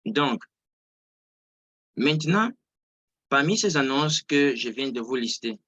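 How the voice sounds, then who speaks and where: A man's voice: medium pitch, animated, slow pace, sharp tone, no room sound, highs cut off. A man who is not a native French speaker, giving an informal live online lesson as a trading instructor, often repeating and rephrasing his words for emphasis. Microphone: close mic on an online video call.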